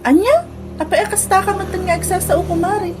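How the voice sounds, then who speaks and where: An actress with an Ilocano accent playing a concerned, pleading friend and co-worker in a comedy radio drama. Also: female voice, very high-pitched, brisk, background noise. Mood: hurt.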